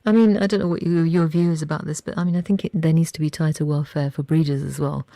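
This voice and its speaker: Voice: medium pitch, fairly even intonation, fast talker, vocal fry, dark tone, no echo. A radio interviewer talking informally, asking rambling questions and often restarting sentences. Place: radio studio.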